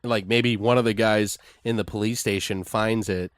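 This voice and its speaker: A man in a casual creative brainstorming chat, informal and sweary, thinking aloud in halting repetitions. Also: lowish voice, steady tone, no room sound. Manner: foul-mouthed, informal. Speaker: co-host brainstorming a horror audio drama.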